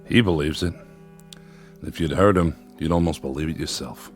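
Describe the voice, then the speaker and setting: An actor playing a steady, tactful army captain who is protective of his men, in a war radio drama. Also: extremely low pitch, soft background music. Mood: concerned. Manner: measured, tactful. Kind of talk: audio drama acting.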